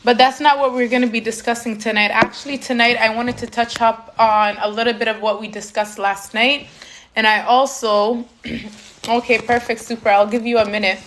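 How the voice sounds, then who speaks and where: A woman's voice: a little high, fairly even intonation, moderate pace, a bit bright, slight background noise. A young woman from Canada hosting a live stream for her community foundation. She switches between English and Somali and uses many fillers. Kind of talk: live stream talk to viewers.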